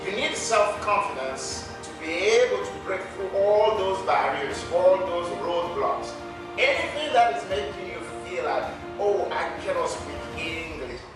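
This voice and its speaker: High-voiced man coaching in an echoey room. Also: expressive, a little slow. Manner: emphatic, coaching tone. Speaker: English teacher and host. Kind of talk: motivational interview. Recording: echoey, distant mic, music behind.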